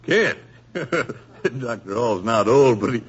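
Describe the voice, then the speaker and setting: Brief: an amiable, anxious board member, lively inflection, dull vintage radio audio. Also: male voice, mid-range voice, husky, exaggerated voice. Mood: genial. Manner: genial, then guilt-stricken and halting. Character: remorseful older male board member. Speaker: actor in a radio drama. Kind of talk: 1940s radio acting. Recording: muffled old radio broadcast.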